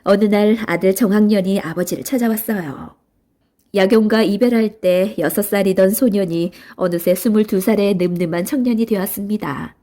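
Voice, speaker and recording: A woman's voice: medium pitch, warm tone. A woman narrating a children's storybook in a scripted read-aloud style.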